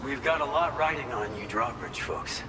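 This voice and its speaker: A man's voice: medium pitch, normal speed, natural voice. An actor playing an earnest, grateful male research facility staffer in a video game, explaining things a little hesitantly. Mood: earnest, hopeful. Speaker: facility staffer at a distribution hub. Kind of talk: scripted game cutscene dialogue. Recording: echoey hall, clear vehicle-like noise.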